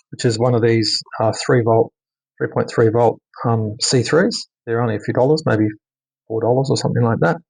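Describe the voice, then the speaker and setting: A man giving a casual, unscripted hands-on technical demonstration, speaking haltingly and often repeating words for emphasis. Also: mid-range voice, breathy edge, poor audio. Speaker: electronics hobbyist presenting a radio mod.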